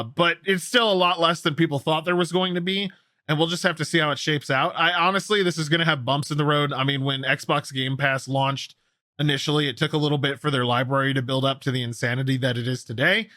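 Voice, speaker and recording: A man's voice: fairly high pitch, pushed and bright, no echo, studio quality. A man hosting a gaming news show, commenting casually and enthusiastically on stories with a co-host. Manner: enthusiastic, opinionated, conversational.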